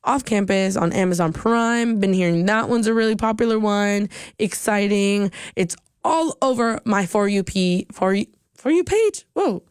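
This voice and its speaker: A young woman hosting a college radio show, chatting casually and rambling upbeat, her voice slightly hoarse and giving out. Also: medium pitch, moderate pace, no room sound, studio quality. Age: young adult. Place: radio studio booth. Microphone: studio microphone.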